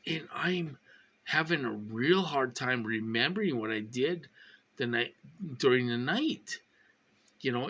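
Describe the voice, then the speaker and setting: Man, fifty, slow, bright voice, halting, repeats himself, anxious. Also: mid-range voice. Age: 50s. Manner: anxious, insistent. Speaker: vlogger. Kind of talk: rambling personal monologue.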